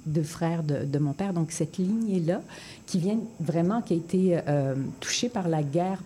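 A woman's voice: on the low side, normal speed, warm tone, a little hiss or hum. A woman from Quebec, a poet guest in a radio interview, talking hesitantly with many fillers and restarts. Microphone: studio microphone.

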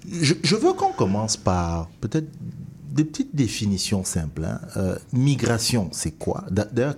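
A man hosting a conversational interview, talking in an animated, digressive way and circling back to rephrase. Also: low voice, a little hiss or hum. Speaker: host interviewing a guest.

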